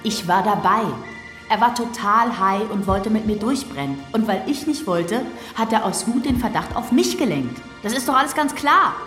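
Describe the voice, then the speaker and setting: A woman's voice: medium pitch, lively intonation, normal speed, a bit bright, close to an everyday voice. A woman playing a desperate, brash young accused in her twenties in a German radio drama, pleading defiantly. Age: 20s. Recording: echoey hall, faint music.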